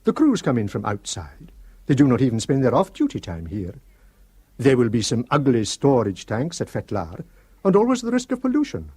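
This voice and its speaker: A husky Shetland father. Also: mid-range voice, wildly swooping pitch, normal speed, a little mellow, dry recording. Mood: indignant. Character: older Shetland islander father, earnest. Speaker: islander on the committee. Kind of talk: radio drama acting.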